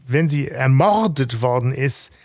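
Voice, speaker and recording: A man co-hosting a fan podcast, recapping an audio drama's plot in quick bursts during a casual discussion. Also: medium pitch, expressive, darkish tone, no echo. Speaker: podcast co-host.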